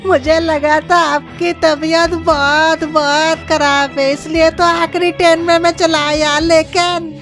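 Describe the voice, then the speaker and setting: A woman's voice: extremely high pitch, little pitch movement, takes it slowly, hardly any pauses, heavily acted. A woman voicing a naive, boastful young village man in a cartoon horror story, with earnest delivery. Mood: shaken, explaining. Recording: loud music behind the voice. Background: loud music.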